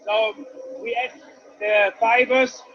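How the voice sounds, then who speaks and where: A man's voice: extremely high pitch, level tone, slow, highs cut off, a little hiss or hum. A man with a Swiss accent guiding a tour of a paper mill, explaining each step plainly and with non-native phrasing. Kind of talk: guided factory tour.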